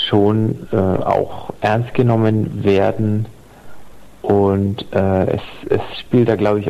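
A man giving his opinion as a listener contributor, thoughtful and halting with many fillers. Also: lowish voice, creaky, dark tone. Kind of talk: recorded listener statement. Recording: dull lo-fi home recording.

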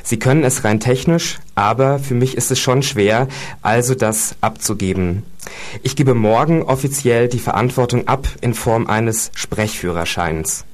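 A man's voice: medium pitch, measured pace. A man co-hosting a radio show, talking casually with many fillers and reading quotes aloud. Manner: hesitant, with fillers.

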